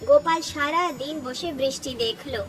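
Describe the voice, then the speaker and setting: A woman's voice: high-pitched, moderate pace, noisy background. A woman narrating a scripted cartoon story. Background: background music.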